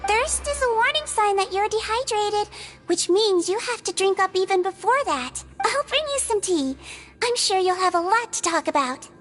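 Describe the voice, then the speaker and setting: A small, gentle head nurse from a video game. Her very high, sweet voice sounds earnest and motherly as she fusses over everyone's health. Soft game music plays behind her. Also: lively intonation, moderate pace. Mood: caring.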